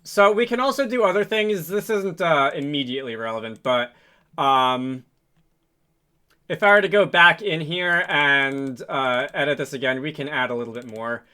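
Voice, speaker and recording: A man hosting a casual programming tutorial, thinking aloud in a halting, hesitant way as he works through code on screen. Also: on the high side, expressive, sharp tone, clear, resonant tone. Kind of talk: casual screen-recorded coding walkthrough.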